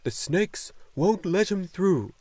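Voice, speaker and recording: A man performs a luck dragon from a fantasy storybook, wise and joyful. The voice sits fairly high and moves with wide, singing swings of pitch. Each phrase is delivered slowly and solemnly, in a close, dry sound. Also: lively intonation, a little mellow, no echo, full character voice. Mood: solemn, measured. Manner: slow, solemn, deliberate delivery.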